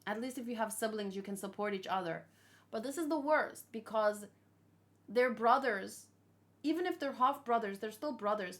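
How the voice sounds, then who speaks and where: A woman hosting a read-along, giving casual, emotive commentary in a conversational way that often trails off mid-thought. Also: mid-range voice. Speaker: host of a manga read-along. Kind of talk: casual reaction commentary, reading aloud.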